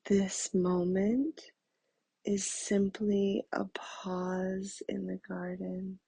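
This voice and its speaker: Very slow, breathy woman guiding meditation. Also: medium pitch, level tone, dry recording. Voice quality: soft, breathy, husky. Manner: slow, soothing, with long pauses. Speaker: meditation guide. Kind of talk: guided meditation narration.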